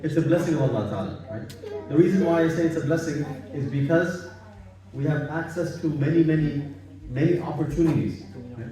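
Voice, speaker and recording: A man's voice: a little high, fairly quick, warm tone. A man from Bangladesh gives an informal religious talk in a conversational, repetitive way, often checking that listeners agree. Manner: conversational, repetitive, seeking agreement with tag questions. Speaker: Islamic study circle speaker. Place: gathering in a private house. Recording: echoey room, distant microphone.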